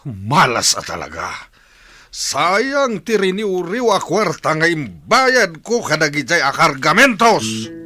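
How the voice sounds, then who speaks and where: A man's voice: fairly high pitch, huge pitch swings, slow pace, pushed and bright, full character voice. A man playing a bitter, cursing crime boss in an agitated, complaining way in an audio drama. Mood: angry, frustrated. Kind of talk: radio drama dialogue.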